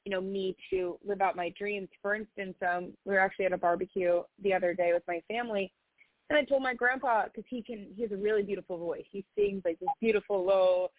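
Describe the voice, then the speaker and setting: A woman's voice: medium pitch, steady tone, brisk, no echo. A female singer-songwriter chatting in an interview, earnest and heartfelt, with lots of "you know" fillers. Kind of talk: casual interview chat. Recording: tinny phone-like line, dry.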